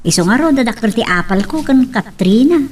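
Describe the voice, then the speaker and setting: A woman acting a lively young female friend who teases a farmer, expressively, in an Ilocano audio drama. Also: a little high, a little fast, soft background music. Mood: teasing, admiring. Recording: distant mic with background noise.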